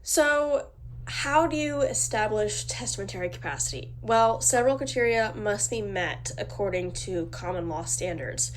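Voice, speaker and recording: A woman's voice: medium pitch, moderate pace, a little hiss or hum. Woman with a cold, slightly nasal. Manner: reads formal text with small slips and restarts. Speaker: student presenter. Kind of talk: reading a prepared class presentation.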